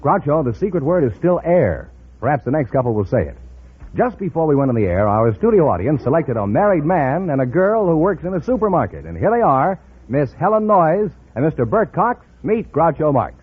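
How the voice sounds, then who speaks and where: A man's voice: a little high, very animated, fairly quick, breathy edge. A man working as an announcer in a 1950s radio style. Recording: old radio, narrow band.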